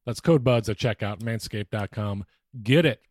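A man's voice: fairly low pitch, moderate pace, a little mellow. A man co-hosting a podcast, talking casually in a joking, conversational way. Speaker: podcast co-host. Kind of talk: casual comic banter.

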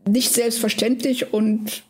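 A woman's voice: a little high, little pitch movement, slow. A woman with a cold, a guest on a podcast, chatting casually with a few restarts and fillers. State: has a cold. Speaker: author and translator, podcast guest.

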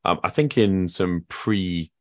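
A man's voice: sits low, animated, slow, no room sound, studio quality. A man hosting a podcast, putting questions to a guest in a casual conversational style. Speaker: podcast host, interviewer. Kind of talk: casual interview, asking questions.

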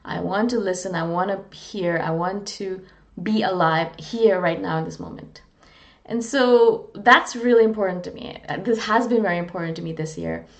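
A woman's voice: medium pitch, normal speed. A woman from India, talking in a candid, earnest, self-deprecating way in a casual, reflective monologue as a vlogger. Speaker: vlogger on personality types.

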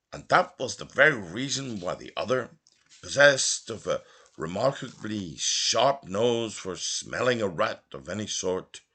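A middle-aged man reads literature aloud in a low, husky voice. His delivery is theatrical and measured, with deliberate pauses and sweeping, lively intonation. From Northern Ireland. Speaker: audiobook reader. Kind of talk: expressive literary narration.

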